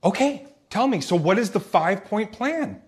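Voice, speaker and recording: A man plays an awkward teenage boy in a comedy table read. His husky, slightly raised voice stammers and restarts nervously, as if unsure of every word. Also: high voice, sing-song, heavily acted. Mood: anxious, pleading. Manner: stammering, halting, nervous laughs. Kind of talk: comic screenplay table read.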